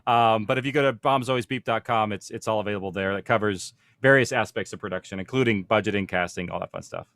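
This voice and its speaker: A man's voice: fairly low pitch, fast talker, few pauses, a bit bright, no echo. A man speaking informally as a panel host, explaining things in a conversational way. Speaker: panel host, audio production producer.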